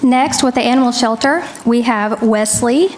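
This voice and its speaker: A woman's voice: a little high, normal speed. A middle-aged woman making public award announcements at a podium microphone in a meeting room. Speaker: presenter at county meeting. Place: indoor government meeting room. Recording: clear background noise in meeting room.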